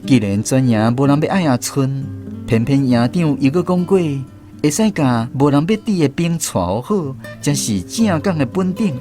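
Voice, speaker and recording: A man's voice: a little high, lively intonation, moderate pace, close to an everyday voice. A man with a Taiwanese accent playing a former serviceman who wryly narrates barracks memories in a radio drama. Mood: wry, reflective. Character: former army serviceman recounting barracks memories, wry storyteller. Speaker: narrator, a former soldier in the story. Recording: music bed behind the voice.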